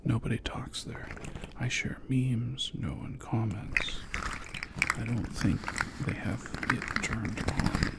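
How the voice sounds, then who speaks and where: A man speaking in a soft, breathy whisper, chatting casually and low-key as an ASMR host. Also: slow. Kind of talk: soft-spoken ASMR, rambling casual chat.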